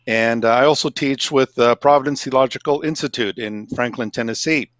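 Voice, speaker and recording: Casting: male theology lecturer from Canada, dry close sound, even-paced delivery. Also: mid-range voice, fairly quick, no room sound. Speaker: seminary teacher and minister. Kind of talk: guest introduction.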